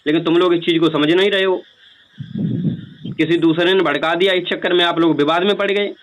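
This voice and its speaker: A man's voice: high voice, fairly even intonation, fairly quick. A man arguing on a recorded phone call in an insistent, lecturing way, pressing his point with repeated rhetorical questions. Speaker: speaker who performs religious stories on stage, on a call. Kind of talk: argumentative phone conversation.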